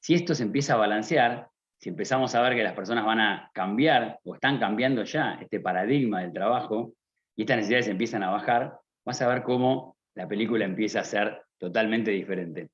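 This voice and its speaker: A man's voice: lowish voice, brisk. A man from Argentina with an Argentine accent, giving a motivational business talk at a conference, often asking the audience rhetorical questions. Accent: Argentine Spanish. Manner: rhetorical questions, addresses listener directly. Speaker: conference speaker. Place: conference stage. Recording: slightly dull lo-fi sound.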